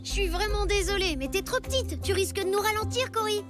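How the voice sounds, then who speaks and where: Very high, cheeky cartoon kid. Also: female voice, fairly quick. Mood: defiant. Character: plucky stubborn prehistoric child, playful and cheeky. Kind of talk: French animated cartoon dubbing. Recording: music bed under the voice.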